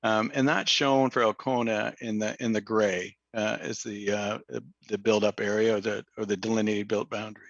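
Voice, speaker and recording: A slightly hoarse man gives a planning presentation to a council meeting, halting often with fillers and restarts. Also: lowish voice, flat delivery, a bit bright, no echo. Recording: dull, dry lo-fi meeting audio.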